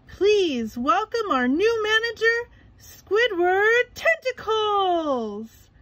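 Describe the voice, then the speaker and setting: A woman's voice: very high-pitched, sing-song, extremely slow, a bit bright, pitched above the performer's own voice, exaggerated voice. A woman voicing a bossy male crab restaurant boss from a cartoon storybook, in an emphatic, announcing manner. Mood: grand.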